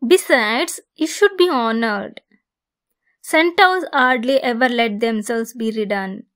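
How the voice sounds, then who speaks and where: Woman playing a slow-talking, higher-pitched centaur captor. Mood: stern, impatient. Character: possessive female centaur captor, bossy yet caring. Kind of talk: ASMR roleplay monologue.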